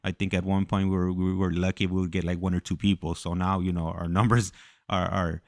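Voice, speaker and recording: A man with a very low voice, recorded dry with little room sound. He talks casually as the host of a live show. His delivery is hesitant, with phrases often started over. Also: level tone, dry recording. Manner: hesitant, halting, repeats words. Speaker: host of a live prison call podcast. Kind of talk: casual conversational talk.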